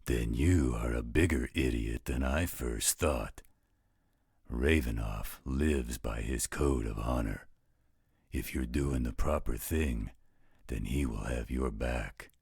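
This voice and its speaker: A man voicing a gruff, blunt, protective werewolf guardian with a husky voice in an audio roleplay drama. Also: darkish tone, no echo, studio quality, full character voice. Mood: defiant, blunt. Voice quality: very low, husky rasp. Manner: slow, blunt, coarse-spoken.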